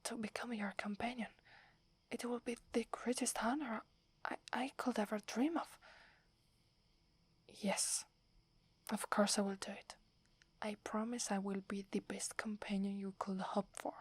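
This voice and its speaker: A woman with an Italian accent playing a shy, devoted young werewolf in a breathy, hesitant ASMR whisper. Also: medium pitch, fairly even intonation, no room sound. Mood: tender, devoted. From Italy. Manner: hesitant, halting, deferential. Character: devoted, shy young female werewolf serving her alpha.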